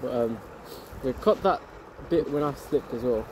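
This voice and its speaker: A man's voice: medium pitch, animated. A young man chatting casually with friends while resting on a hike, filmed on a handheld phone outside. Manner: chatty, quick questions, offhand. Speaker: vlogger on hiking expedition. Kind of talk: casual chat with friends outdoors. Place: outdoors on a grassy hillside. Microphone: handheld phone camera. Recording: outdoor wind and ambient noise.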